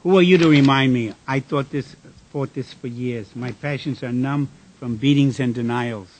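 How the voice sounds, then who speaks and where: A man's voice: mid-range voice, moderate pace, a little hiss or hum, natural voice, poor audio. An actor playing a bitter, disillusioned engineer in a radio drama, weary and declamatory in his delivery. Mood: bitter, indignant. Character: Francis, bitter, disillusioned male engineer worn down by fighting.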